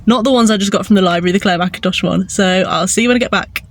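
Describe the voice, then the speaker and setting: A woman's voice: mid-range voice, racing, over loud music. Chatty bunged-up woman. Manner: chatty and enthusiastic. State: congested, bunged-up nose. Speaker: book vlogger. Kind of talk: casual vlog chat.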